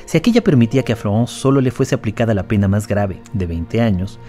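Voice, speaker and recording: A man's voice: on the low side, expressive, fast, mellow, faint music behind. A man narrating a documentary audio drama in a scripted, explanatory style. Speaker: narrator and analyst.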